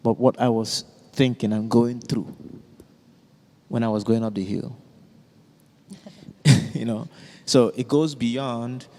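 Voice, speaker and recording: A man's voice: mid-range voice, fairly even intonation, takes it slowly, long pauses, warm tone. A man hosting a reflective group conversation in a museum exhibition space, hesitant and searching for his words. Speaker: discussion host. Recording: long hall reverb.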